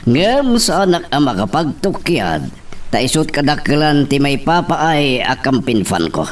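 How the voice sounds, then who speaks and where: A man with an Ilocano accent acting a scheming politician father in an audio drama, deliberate and full of pauses. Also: fairly high pitch, big pitch swings. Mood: scheming, grave. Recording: slightly distant microphone.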